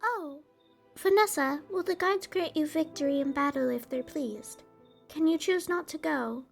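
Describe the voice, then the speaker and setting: A woman's voice: high-pitched, measured pace, creaky, soft background music. A woman playing a frail, worried little girl in a fan dub, with a timid, pleading, childlike manner. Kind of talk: fan dub character acting.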